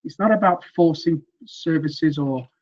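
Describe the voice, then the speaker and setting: A middle-aged man coaching on communication and sales, speaking earnestly and loosely with many fillers and restarts, a little croaky from weeks of teaching. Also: on the high side, a little slow, highs cut off. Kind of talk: presentation with slides.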